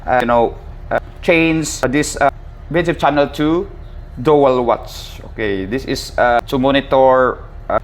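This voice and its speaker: A man casually teaching a hands-on equipment tutorial as an instructor, halting and repetitive, often addressing his listeners directly. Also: medium pitch. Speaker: maritime instructor and channel host.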